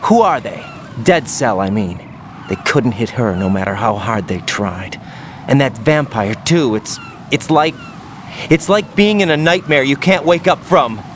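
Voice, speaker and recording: A man's voice: mid-range voice, expressive, measured pace, slight background noise, close to an everyday voice. An actor playing a rattled young male soldier in a video game cutscene, anxious and questioning. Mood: shaken. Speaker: infiltration operative.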